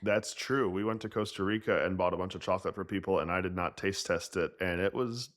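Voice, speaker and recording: A middle-aged man hosting a health podcast in relaxed, teasing casual chat. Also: sits low, a little fast. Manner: relaxed, teasing, easy laughs. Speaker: podcast host.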